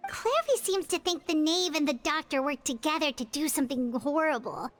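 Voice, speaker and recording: A woman's voice: high voice, lively intonation, moderate pace, a little hiss or hum. A woman voicing a small chatty fairy-like companion in a video game, who talks about herself in the third person. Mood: worried. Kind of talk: video game cutscene dialogue.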